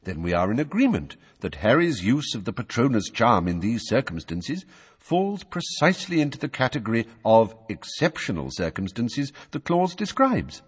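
Man doing Dumbledore, a calm courteous old wizard. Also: medium pitch, slightly rough. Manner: measured, courteous, unhurried. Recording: long hall reverb.

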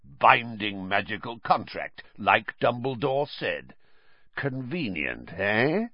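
Guy doing a gruff growly old veteran, blunt, husky, dry audio. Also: medium pitch, very animated, slow, no echo. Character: gruff, grizzled old male ex-auror. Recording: dry, slightly dull audiobook recording.

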